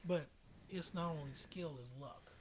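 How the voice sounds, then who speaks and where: Male American podcast host, slightly high voice, casual opinionated sports talk, light background noise. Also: a little slow. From Chicago, United States. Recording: noisy amateur podcast audio.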